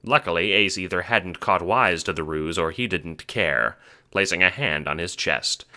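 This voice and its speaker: A man's voice: low-pitched, animated, moderate pace, pushed and bright. A man reading scripted, second-person dramatic narration for an audio drama.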